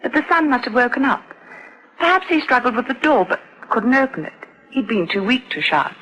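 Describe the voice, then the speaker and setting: An actress playing a proper, confiding adoption official in a radio drama, formal, deliberate and well-spoken. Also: female voice, a little high, big pitch swings, highs cut off, a little hiss or hum. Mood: grim.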